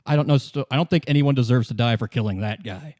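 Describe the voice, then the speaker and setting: A man's voice: medium pitch, fast talker, a little mellow, no room sound, studio quality, natural voice. Defensive local gang leader played by a male game master, medium register, reluctant stop-start delivery, game roleplay.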